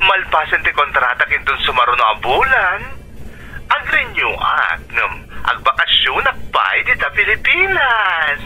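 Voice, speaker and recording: A man's voice: a little high, wildly swooping pitch, unhurried, bright, forceful tone, breathy edge, exaggerated voice, rough recording. A man playing a cheerful, affectionate uncle in a radio drama, jovial, with an Ilocano accent.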